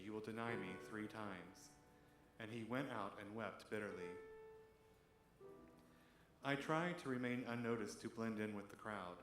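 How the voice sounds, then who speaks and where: Man reading dramatically in an echoey church. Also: medium pitch. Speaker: reader at a church service. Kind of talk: dramatic scripture and monologue reading. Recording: echoey church with music behind.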